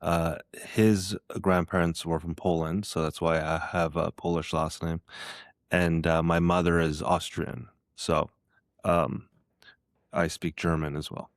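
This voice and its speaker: A man's voice: low-pitched, level tone, unhurried, no echo, clean studio sound. A man talking as a podcast guest in a casual conversation, hesitant, with many fillers and restarts. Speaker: guest, iOS app developer.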